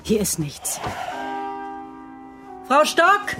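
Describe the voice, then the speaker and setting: An actress playing an impatient, sharp-tongued investigator in a radio drama, curt and commanding. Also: female voice, high-pitched, wildly swooping pitch, very slow, loud music behind the voice, heavily acted. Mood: tense, businesslike. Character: brusque, impatient young female investigator, authoritative and sharp-tongued.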